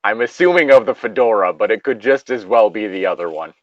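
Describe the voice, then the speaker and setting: A man's voice: lowish voice, a little fast, no room sound, lo-fi sound. A man reading a comic story casually, breaking off into jokey asides and banter. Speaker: co-reader of an internet story.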